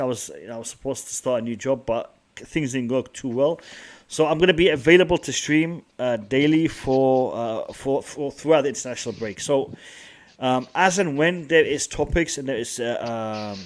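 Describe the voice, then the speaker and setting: A man from Yorkshire hosting a casual live stream chat, who repeats himself and restarts his sentences a lot. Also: medium pitch. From Yorkshire, England. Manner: repetitive, stops and restarts a lot, says "guys". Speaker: stream host.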